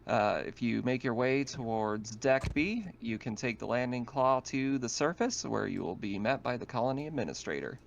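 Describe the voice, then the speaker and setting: A man's voice: mid-range voice, moderate pace, vocal fry, hardly any pauses, slight background noise, lightly acted. Man acting as polite, formal spaceship captain for tabletop sci-fi; muffled lo-fi audio. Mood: polite, routine. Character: courteous male spaceship captain on the intercom. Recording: dull lo-fi voice chat.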